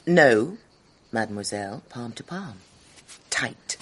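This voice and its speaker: An actress playing a cold, imperious female skeptic with villainous intent in a radio drama, speaking deliberately. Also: very deep voice, expressive, fairly bright tone, husky, exaggerated voice. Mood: stern.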